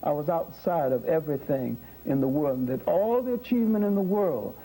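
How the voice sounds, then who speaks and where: A man telling a personal story as an interview guest. His voice is husky and very dark yet sits fairly high, and he speaks earnestly and reflectively, with a few fillers. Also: animated, fairly quick, rough recording.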